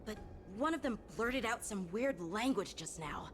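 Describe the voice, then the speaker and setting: A woman's voice: a little high, animated, unhurried, a little hiss or hum. A woman voicing an earnest, analytical, slightly proud young boy knight in an anime-style video game. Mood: earnest, deliberating. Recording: music behind the dialogue.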